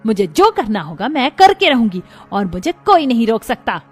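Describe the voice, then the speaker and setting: Cartoon mother-in-law voiced by a woman: older, nagging, stubborn, fairly high voice over background music. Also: big pitch swings, normal speed, husky. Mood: stubborn, indignant. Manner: bossy, nagging. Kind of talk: cartoon story dialogue.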